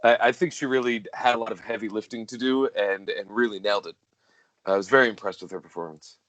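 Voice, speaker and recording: A man's voice: on the low side, fairly even intonation, fast, fairly bright tone, no room sound. A man co-hosting a podcast, talking casually and effusively about film, often feeling for the right word. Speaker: podcast co-host.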